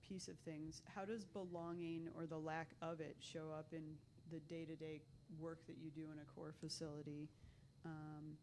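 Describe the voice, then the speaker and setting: Low, flat-voiced woman moderator. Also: a little hiss or hum. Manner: measured, inviting questions. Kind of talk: panel moderation.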